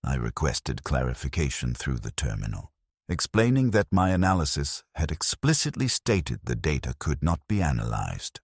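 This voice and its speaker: A man narrating a first-person story in a grave, measured, detached way. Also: a bass voice, sing-song, breathy edge, no room sound, studio quality.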